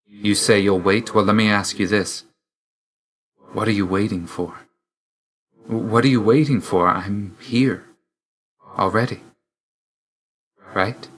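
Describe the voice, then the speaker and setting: An actor playing a brooding young god of death in a video game, speaking in a reserved, dry, measured and formal way. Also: male voice, fairly low pitch, fairly even intonation, a bit bright. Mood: guarded, tender. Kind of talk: video game character dialogue.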